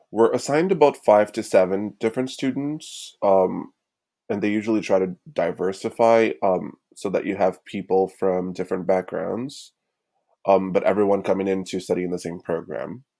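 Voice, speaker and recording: A young man is a guest on a podcast. His voice is low in pitch, and he talks in a loose, casual, conversational way. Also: fairly even intonation, normal speed, creaky, mellow. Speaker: podcast guest, student tutor. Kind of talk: casual chat.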